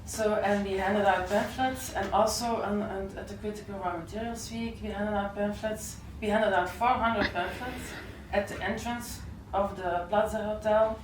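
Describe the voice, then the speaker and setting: Woman activist, halting and repetitive, heard from across a noisy, echoey room. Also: medium pitch, steady tone, distant mic. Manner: hesitant, repeats words, restarts. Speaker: activist speaker at post-film discussion. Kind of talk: casual panel discussion.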